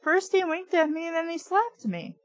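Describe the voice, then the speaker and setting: A woman's voice: very high voice, lively intonation, moderate pace, no echo, highs cut off, voice pushed up. A woman voicing an indignant, teasing young girl in a storybook, with an insistent, protesting manner. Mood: indignant, pleading. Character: girl narrator Trina, indignant, teasing older child.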